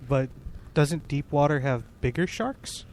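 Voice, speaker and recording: A man co-hosting a casual comedy podcast, dropping deadpan one-liners and questions. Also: fairly high pitch, a little slow. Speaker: podcast co-host.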